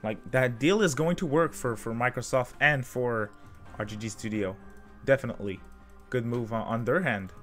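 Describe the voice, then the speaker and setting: A man hosting a gaming podcast, soft-spoken and hesitant, with many fillers. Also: mid-range voice, moderate pace, faint music behind. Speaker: podcast host. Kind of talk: casual gaming chat.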